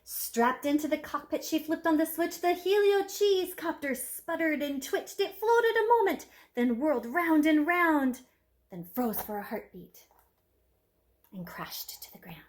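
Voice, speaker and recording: A woman teacher reading a picture book aloud to children in an expressive, emphatic storytelling manner with dramatic hushed moments. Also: high voice, a little slow.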